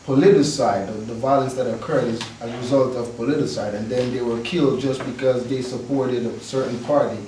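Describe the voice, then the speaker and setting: A young man from Jamaica, raised in the United States, poses a measured question from the audience. He is heard from afar in a strongly echoing hall, with faint noise behind. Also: mid-range voice, darkish tone. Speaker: audience member asking a question. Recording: echoey hall, distant mic.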